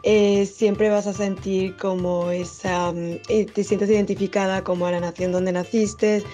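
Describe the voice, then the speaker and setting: A woman speaking off the cuff, haltingly searching for her words. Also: mid-range voice, little pitch movement, warm tone, soft background music. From born in Dominican Republic, raised abroad. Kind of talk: unscripted personal statement.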